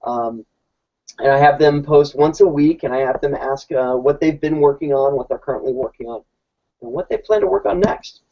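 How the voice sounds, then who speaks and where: A man's voice: mid-range voice, lively intonation, moderate pace, creaky. A man, a teacher, explaining how his classroom works in a casual, informal way, often restarting his sentences. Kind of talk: casual presentation. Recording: dull lo-fi recording.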